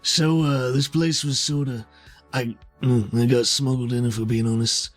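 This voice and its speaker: A man playing a large, easygoing man in a tabletop game, hesitant and gently teasing as he talks. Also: medium pitch, fairly even intonation, normal speed, soft background music, close to an everyday voice. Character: Isaac, large square-built man, easygoing wry backup. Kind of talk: improvised tabletop roleplay.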